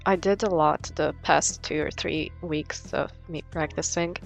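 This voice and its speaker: A woman's voice: low voice, steady tone, measured pace, vocal fry, soft background music, poor audio. A young woman narrating a casual vlog in an informal, sweary way. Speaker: vlogger, artist.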